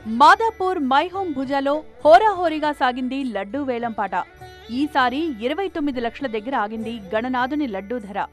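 A woman's voice: sits high, moderate pace, fairly bright tone. A woman reading scripted news narration as a reporter. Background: background music.

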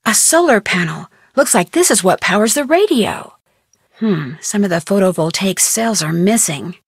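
A woman playing an inquisitive, polite and persistent young American amateur detective, speaking scripted adventure game dialogue in an earnest, expressive way. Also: medium pitch, moderate pace, slightly rough, no room sound, studio quality. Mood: musing. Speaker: video game voice actress.

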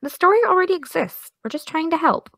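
A woman's voice: very high voice, lively intonation, fast, vocal fry, fairly bright tone, dry recording, full character voice. A young actress playing an exasperated, defensive young woman with dry comebacks in an audio drama. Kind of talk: audio drama dialogue of a video call.

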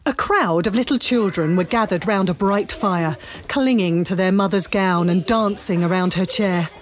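A woman's voice: mid-range voice, normal speed. A woman, narrating a story in a dramatic storybook style.

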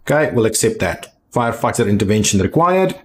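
A man giving casual video game commentary, thinking aloud in a halting way as he plays. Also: lowish voice, sing-song. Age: adult. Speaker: gaming video host.

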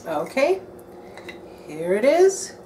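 A woman's voice: a little high, very animated, slow, mellow. A woman in her thirties, chatting upbeat as she cooks a recipe at home and thinks aloud. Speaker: home cooking vlogger. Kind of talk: casual cook-along narration. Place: home kitchen, indoors. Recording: home kitchen, clear noise of dishes and utensils. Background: clinking cutlery and pots.

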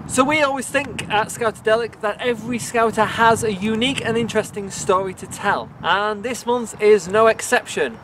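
A man co-hosting a scouting show, introducing a segment briskly and cheerfully. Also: high-pitched, fairly bright tone, a little hiss or hum, low-quality recording. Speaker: show co-host.

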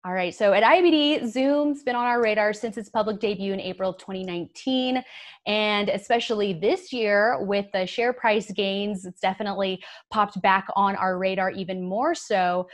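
A woman's voice: medium pitch, normal speed. Polished woman hosting a business show. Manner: polished, upbeat presenting. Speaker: news show host. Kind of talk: business news interview. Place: TV studio.

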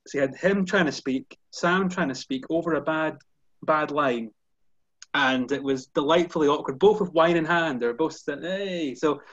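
A man's voice: mid-range voice, dry recording, highs cut off. Scottish man on a dry video call. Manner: fluent, measured, many "you know" fillers. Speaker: golf journalist. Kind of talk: casual video-call chat. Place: indoor room with curtains behind. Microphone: headphones on a video call.

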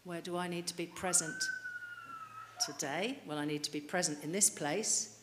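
A woman's voice: on the low side, moderate pace. A middle-aged woman preaching a sermon at a church lectern mic, earnestly putting questions to the congregation. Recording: reverberant church hall, light hiss.

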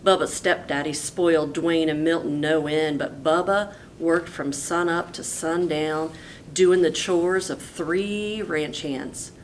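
A woman teacher reading a picture book aloud in a folksy, animated storytelling way. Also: slow. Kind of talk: expressive storybook read-aloud. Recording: noisy with low rumble.

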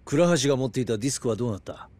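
A man's voice: medium pitch, expressive, racing, darkish tone, close to an everyday voice. Grave middle-aged detective played by a man. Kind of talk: dramatic video game cutscene acting.

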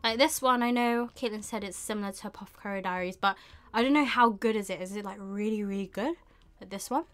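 A young woman hosting a casual live stream, thinking aloud in an unhurried way with murmured asides. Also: medium pitch, a bit bright. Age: young adult. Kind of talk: casual chat while shopping online. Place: small indoor room with bookshelves.

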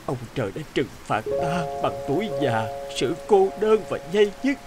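A lonely old village father in a cartoon drama. He sounds bitter and full of regret, his fairly high voice rising and falling widely. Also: very animated, over music. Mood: remorseful sorrow. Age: older. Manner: halting, sorrowful, emotional. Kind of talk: animated drama voice acting.

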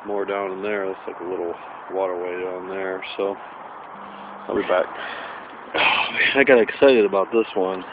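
Man, chatty and excitable, low voice, tinny lo-fi camera audio, outdoor hiss. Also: moderate pace. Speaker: metal detecting vlogger. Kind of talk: casual outdoor vlog narration. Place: wooded area outdoors. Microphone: handheld camera.

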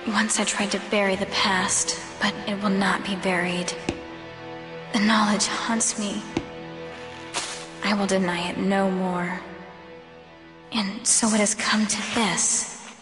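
A woman playing a solemn, resolute figure, grave and measured, in a dramatic anime game monologue. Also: medium pitch, little pitch movement, over music, close to an everyday voice. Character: solemn, resolute woman facing a dark destiny. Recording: echoey hall with long reverb.

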